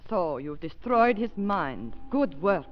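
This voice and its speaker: An actress playing a sharp-tongued countess, biting and sarcastic, in a 1930s radio drama style. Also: female voice, lowish voice, very animated, takes it slowly, music in the background. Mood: bitter sarcasm. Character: scornful, sharp-tongued countess, a spy's female accomplice. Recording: muffled 1930s radio recording.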